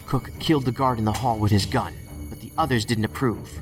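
An actor playing a stubborn, reckless federal agent in an audio drama, speaking in a dry, insistent, clipped way. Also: male voice, mid-range voice, brisk, over music, lightly acted. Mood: urgent, intense.

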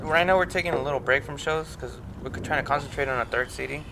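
Slightly high-voiced man over clear outdoor noise. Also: fast. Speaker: band musician being interviewed. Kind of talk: casual chat. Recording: noisy outdoor recording with vehicle rumble.

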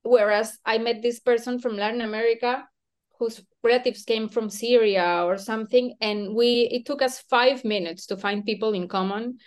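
A woman from Peru, an author interviewed about her book, speaking thoughtfully with frequent restarts, hedges and soft laughs. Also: a little high, fairly even intonation, moderate pace. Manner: hesitant, self-questioning, with many restarts and soft laughs. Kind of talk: thoughtful academic conversation.

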